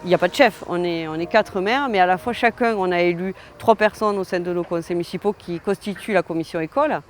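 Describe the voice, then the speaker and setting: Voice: medium pitch, fast, soft background music. A mayor answering interview questions in a conversational way. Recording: outdoor noise with traffic.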